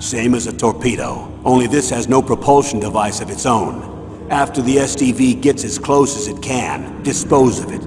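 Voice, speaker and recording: An actor playing a stern older military commander, with a measured, grave, authoritative way of briefing in a video game. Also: male voice, mid-range voice, animated, lightly acted. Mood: businesslike. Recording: long echoing reverb, music underneath.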